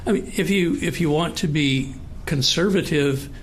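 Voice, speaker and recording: An American man in his late sixties speaking in a halting, thoughtful, earnest way in a personal conversation. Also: fairly high pitch, a little hiss or hum. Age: 60s. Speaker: participant in a recorded one-on-one conversation.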